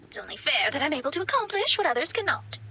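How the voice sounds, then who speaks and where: An actress voicing a proud, boastful young astrologer in a video game, with a smug and haughty manner. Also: female voice, high-pitched, sing-song, fast, sharp tone, full character voice. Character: proud, boastful young woman astrologer, self-declared genius.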